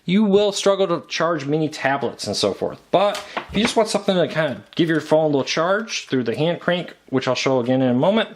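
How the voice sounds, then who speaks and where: A man's voice: on the high side, expressive, a little fast, hardly any pauses, a little hiss or hum. An American man giving a casual, unscripted product review in a conversational, matter-of-fact way. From United States.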